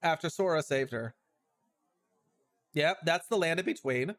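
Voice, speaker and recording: High-pitched, animated male streamer. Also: unhurried. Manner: reactive, puzzled asides, repeats phrases. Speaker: livestreamer. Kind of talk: casual game stream commentary. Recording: music behind the voice.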